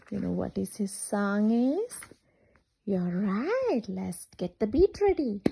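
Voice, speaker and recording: Lady doing kids story time, really high voice, super slow, singsongy and mellow. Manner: animated, singsong, asks questions and pauses for children. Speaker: children's storyteller. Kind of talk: interactive picture-book read-aloud with singsong chants.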